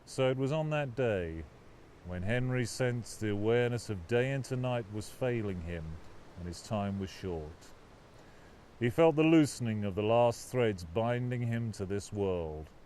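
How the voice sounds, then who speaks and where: A man reading his own novel aloud as scripted audiobook narration, with a dramatic, expressive literary delivery. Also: fairly low pitch, a little hiss or hum.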